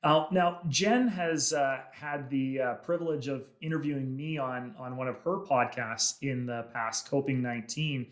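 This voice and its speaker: A man hosting a podcast, enthusiastic and friendly, pausing often with "uh" as he introduces and questions his guest. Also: medium pitch, echoey room. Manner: friendly, many "uh" pauses, enthusiastic. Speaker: podcast host. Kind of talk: casual interview chat.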